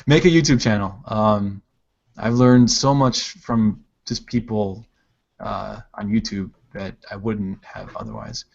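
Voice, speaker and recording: A man hosting a casual art livestream, chatting while he draws, relaxed and rambling with plenty of filler words. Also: fairly low pitch, a little slow, vocal fry, highs cut off. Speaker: livestream host, digital artist.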